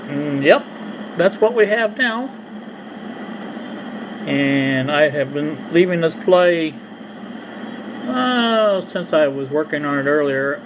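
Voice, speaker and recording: Folksy guy doing repair video at his bench, takes his time, lots of stops, bit high voice, faint noise behind. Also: animated. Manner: halting, folksy, unhurried. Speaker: hobbyist radio repair vlogger. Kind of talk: casual hands-on repair demonstration. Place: indoor workbench. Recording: dull lo-fi sound with background noise.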